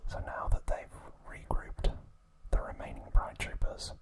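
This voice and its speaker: An ASMR host whispering softly and gently in a breathy, unvoiced voice, recapping trivia in an unhurried way. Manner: soft, gentle, unhurried storytelling.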